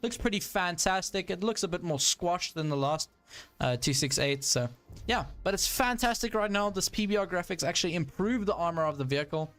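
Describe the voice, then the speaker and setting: A man's voice: sits high, lively intonation, brisk, fairly bright tone, soft background music. A young man with a South African accent, casually commentating a live stream of his tank game and greeting viewers in chat, with plenty of ums and restarts. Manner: casual, thinks aloud, many ums and restarts.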